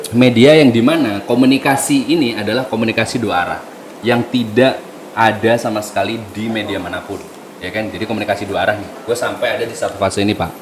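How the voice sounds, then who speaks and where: A man who works as a radio announcer, chatting casually and jokingly, mixing in words. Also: medium pitch. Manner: animated, joking, mixes in Javanese words. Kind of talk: casual podcast chat. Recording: noisy room ambience.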